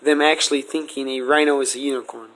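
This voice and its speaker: A man's voice: medium pitch, fairly even intonation, fast, a bit bright, poor audio. A man asking questions in a casual, conversational interview style. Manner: informal, conversational.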